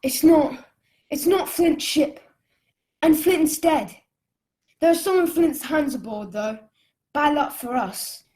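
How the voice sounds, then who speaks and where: A woman's voice: high-pitched, slow pace, long pauses, a little mellow. A woman playing an earnest young boy adventurer who narrates his own tale in an audio drama. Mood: urgent. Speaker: narrator and lead character.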